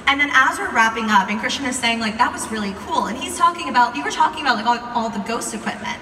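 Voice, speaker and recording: A woman's voice: on the high side, expressive, fast talker, sharp tone. A young American woman tells a story casually to a live audience, chatty and informal, recorded from a distance in a large room. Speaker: video creator telling a story to a live audience. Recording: echoey hall, distant mic, some noise.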